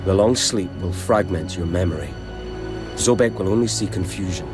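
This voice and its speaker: An actor playing a solemn, resolute warrior son in a dark fantasy game, speaking with measured, earnest, portentous delivery. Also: male voice, deep voice, lightly acted. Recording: music under the voice.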